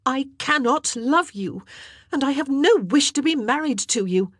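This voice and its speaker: A woman's voice: high voice, unhurried, warm tone, husky, dry recording, clean studio sound, pitched above the performer's own voice. A woman voicing a proud, distraught young queen who pleads and cries out in indignation. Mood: furious.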